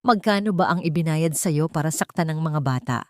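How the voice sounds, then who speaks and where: A woman's voice: low-pitched, brisk, a little mellow, no echo, clean studio sound, close to an everyday voice. A woman voicing a tough female prison inmate who mocks and goads in an audio drama. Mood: mocking. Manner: mocking, goading.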